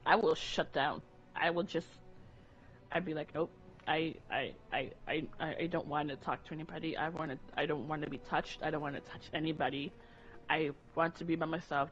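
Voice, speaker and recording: A woman co-hosting a casual chat, talking candidly and rambling with many restarts. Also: sits low. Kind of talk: casual conversation. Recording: dull lo-fi audio with faint music.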